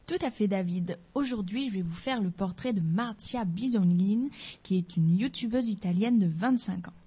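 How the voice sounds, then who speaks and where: A woman with a soft, mellow voice presents a scripted portrait segment in a local radio studio. She talks straight to the listeners in a chatty, friendly way, with playful asides. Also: medium pitch, normal speed, slightly rough. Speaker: radio columnist.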